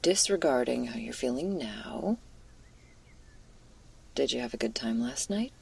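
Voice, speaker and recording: A woman acts out a female stoner werewolf in an ASMR roleplay, laid-back and caring with an anxious streak. Her voice sits low and crackles with fry. She talks slowly and hesitantly in casual slang, slipping between a breathy murmur and a whisper. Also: expressive, darkish tone, exaggerated voice. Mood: gentle, reassuring. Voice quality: husky, breathy, creaky. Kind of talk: intimate ASMR roleplay, spoken to the listener.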